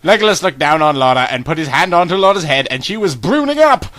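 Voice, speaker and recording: Male storyteller, high pitch, lively swings, amateur run-on narration, emphatic scene changes. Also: quick pace, dry recording.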